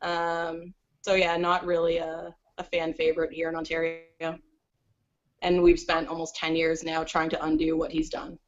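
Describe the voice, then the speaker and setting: A woman speaking as a podcast guest over a video call, chatty and quick, with lots of "like" fillers. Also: sits low, completely flat delivery, muffled recording. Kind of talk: casual interview chat.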